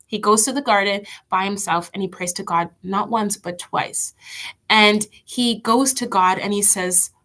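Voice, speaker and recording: A woman's voice: medium pitch, measured pace, a bit bright. A woman leading an online children's church lesson, chatty and encouraging, with a stuffy nose from allergies. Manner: chatty, encouraging, reads out kids' comments.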